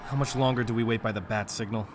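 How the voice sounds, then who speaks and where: An actor playing a cynical, driven district attorney in a noir radio drama, sardonic and impatient. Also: male voice, medium pitch, steady tone, fast talker, background noise, lightly acted. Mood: wry.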